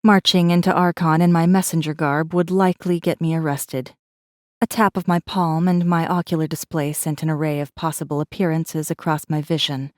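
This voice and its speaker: A woman reading a first-person story as an audiobook narrator, with measured, dramatic delivery. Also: low-pitched, steady tone, no echo, clean studio sound.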